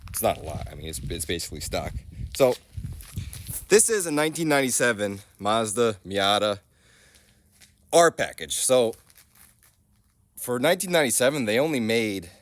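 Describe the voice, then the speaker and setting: A man's voice: medium pitch, sing-song, moderate pace, pauses often, no echo. A man in his thirties with a stuffy head cold, talking loosely and self-deprecatingly while showing off his car outdoors on a phone camera. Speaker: car vlogger. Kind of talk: casual car walkaround vlog. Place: outdoors in a gravel driveway and inside a small car. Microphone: phone camera selfie view.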